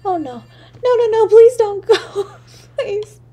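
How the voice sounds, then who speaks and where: A woman voicing a poor, lonely little girl in an audio drama, halting and breathy, with childlike stammering. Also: extremely high pitch, animated, mellow, exaggerated voice. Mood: tearful pleading. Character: poor, lonely, freezing little match girl, timid and yearning. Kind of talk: solo audio drama monologue.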